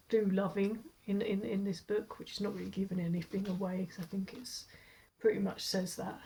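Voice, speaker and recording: A woman's voice: medium pitch, creaky, darkish tone, slightly rough, rough recording. Chatty woman with a stuffy cold. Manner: rambling, chatty, often restarts words and laughs. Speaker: book reviewer. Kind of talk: casual chat to camera.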